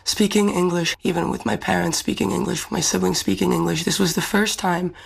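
A woman's voice: fairly low pitch, steady tone, a little fast, gravelly, husky. A young woman from the Czech Republic, a pageant winner answering interview questions in a thoughtful, earnest way. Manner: thoughtful, earnest, fluent English speaker.

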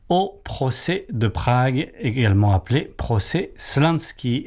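Radio guy wrapping up the show, smooth announcer voice. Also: mid-range voice, darkish tone. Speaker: radio host. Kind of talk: scripted radio sign-off.

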